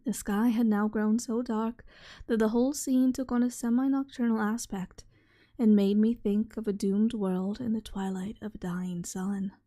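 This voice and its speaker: A soft-spoken woman reading a horror short story in a measured ASMR style. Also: medium pitch, fairly even intonation. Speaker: reader, channel host.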